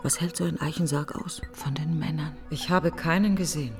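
An actress in a radio play monologue, playing a bitter, grieving woman alone after her best friend's funeral, speaking in halting, clipped, literary fragments that often sink toward a breathy whisper. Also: sits low, fairly even intonation, soft background music. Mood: numb, brooding.

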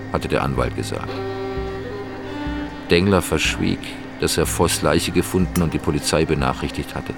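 A man narrating a crime radio drama in a sober, scripted storytelling style. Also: sits low. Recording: music behind the voice. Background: background music.

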